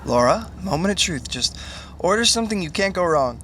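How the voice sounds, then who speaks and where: A man's voice: high voice, very animated, fairly quick, very noisy. An actor playing an earnest, lovestruck, emotional young man in a podcast sitcom, with dramatic delivery. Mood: casual.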